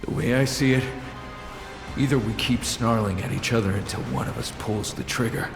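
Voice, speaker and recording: A man's voice: mid-range voice, moderate pace, creaky, lightly acted. Echoey, tough male game operative, acted by a man. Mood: tense negotiating. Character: tough, pragmatic armed male operative, gruff and wary. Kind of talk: video game cutscene acting. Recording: echoey hall with long reverb, music behind.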